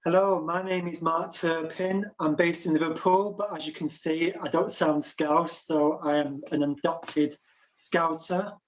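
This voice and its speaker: A man doing improvised comedy, talking in a calm, hesitant way full of fillers. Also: a little high, a little slow. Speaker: improv performer. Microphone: video call. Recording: dull lo-fi video call audio.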